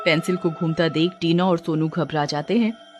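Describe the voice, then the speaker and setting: A woman's voice: sits low, fairly even intonation, moderate pace. Female storyteller with faint music behind. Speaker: story narrator. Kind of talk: scripted narration of a bedtime story.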